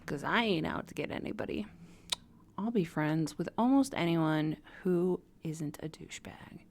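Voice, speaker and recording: A woman's voice: sits low, animated, moderate pace, darkish tone. A woman hosting a casual home live stream, rambling and self-deprecating, laughing at herself as she talks. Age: adult caregiver, former radio worker.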